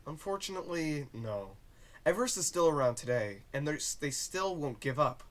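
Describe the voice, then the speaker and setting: Guy acting secretive guarded man, grave n hesitant, lively up and down pitch, audio drama. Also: normal speed, close to an everyday voice. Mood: resigned.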